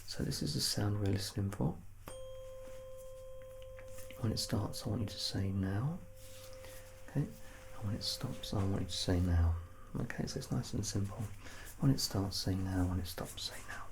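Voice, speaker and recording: A soft-spoken man in a calm, reassuring ASMR medical exam roleplay, playing the examiner. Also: on the low side, normal speed, gravelly, background noise.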